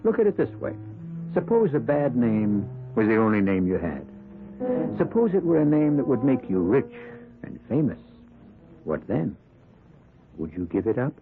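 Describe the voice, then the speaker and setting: A man hosting a radio mystery drama, narrating in a measured, theatrical way with deliberate pauses. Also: mid-range voice, very animated. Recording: tinny vintage radio broadcast, music behind. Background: dramatic music.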